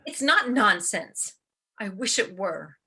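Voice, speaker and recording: A proud, wounded star actress of the stage played by a woman in a radio drama. Her voice is husky and forceful, swinging widely in pitch. She speaks with theatrical sarcasm and heavy emphasis. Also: sing-song, slow pace, full character voice. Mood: wounded pride. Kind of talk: radio play acting of classic film dialogue.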